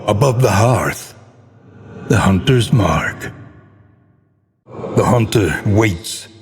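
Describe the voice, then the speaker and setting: An actor playing a grave, brooding narrator of a gothic horror game, with solemn, theatrical, deliberate delivery. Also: male voice, lowish voice, animated, heavily acted. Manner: ominous, solemn, deliberate, ornate phrasing. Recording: long cavernous reverb.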